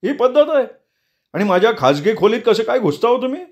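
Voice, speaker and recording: A man doing an indignant shouting man. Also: high-pitched, animated, moderate pace, pitched above the performer's own voice. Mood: irate. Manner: shouting, accusing. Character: grumpy man rudely woken, indignant.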